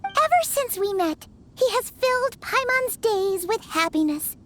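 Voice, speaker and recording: Tiny fairy sidekick, extremely high, animated, squeaky, childlike, cheerful, loyal, anime game. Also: female voice, unhurried, slight background noise. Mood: earnest, devoted. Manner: squeaky, chirpy, speaks of self in third person. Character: tiny childlike female floating companion, cheerful, excitable, loyal. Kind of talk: anime-style video game voice acting.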